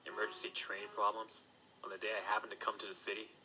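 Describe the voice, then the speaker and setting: A man playing a guarded young knight apprentice in an audio drama, with a forceful, bright voice and quick delivery. He is terse, formal and sardonic, with music playing underneath. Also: lowish voice, completely flat delivery, low-quality recording. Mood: cold, sardonic.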